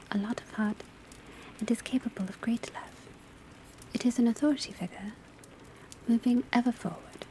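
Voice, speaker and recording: A woman giving a soft, breathy, mostly whispered ASMR classroom lecture in a measured, deliberate, instructive way. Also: a little high. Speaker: ASMR performer playing an astrology class teacher. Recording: steady ambient noise of rain and fireplace. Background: crackling fireplace, rain on rooftop, pencil writing.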